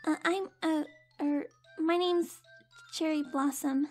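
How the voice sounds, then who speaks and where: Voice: high voice, steady tone, soft background music, pitched above the performer's own voice. An adult performer voicing a shy, timid little pony filly, a younger sister in an audio story. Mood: shy, nervous. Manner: timid, halting. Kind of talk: character acting in fan audio story.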